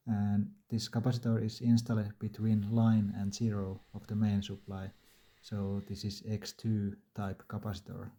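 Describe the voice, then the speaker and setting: A man's voice: fairly low pitch, dark tone. A man narrating a do-it-yourself repair tutorial in a plain, matter-of-fact, step-by-step way. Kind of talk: instructional DIY narration.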